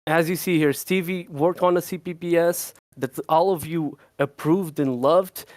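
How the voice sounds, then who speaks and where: A man hosting a casual developer Q&A on a livestream, excitable and quick to laugh, often stumbling over and repeating his words. His voice sits a bit high, recorded close and dry with no room sound. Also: no echo. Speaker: game developer co-host.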